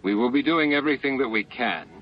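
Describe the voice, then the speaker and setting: A man voicing a steady, dutiful starship captain in an audiobook, frank and measured. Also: mid-range voice, voice pushed up, natural voice. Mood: dry, firm. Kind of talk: audiobook character dialogue. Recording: muffled lo-fi audiobook with music.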